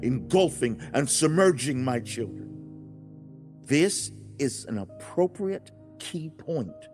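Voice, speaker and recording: A stern, fatherly God as acted by a man lectures in a religious audiobook. The delivery is solemn and declamatory, with wide swings of pitch and rhetorical questions, over a music bed. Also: a little mellow. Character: God, male, speaking as stern fatherly teacher. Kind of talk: dramatized religious audiobook monologue.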